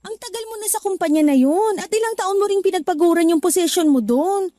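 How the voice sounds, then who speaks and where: A woman's voice: very high voice, fast, dark tone, no room sound. An actress playing a practical young woman in a Tagalog radio drama, teasing her partner and then scolding him for quitting his job. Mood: worried. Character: young woman working abroad, practical, a little irritated.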